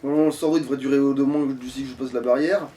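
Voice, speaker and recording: A man's voice: mid-range voice, steady tone, quick pace, mellow, distant mic. A man giving casual, crude and joking commentary while he plays a video game. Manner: informal, crude swearing, joking. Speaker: player and host of a gaming let's play.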